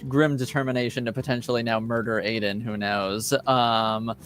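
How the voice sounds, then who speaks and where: A tabletop roleplaying player casually describing characters' reactions in a hesitant, halting way. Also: medium pitch, flat delivery, faint music behind. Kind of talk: casual improvised roleplay narration.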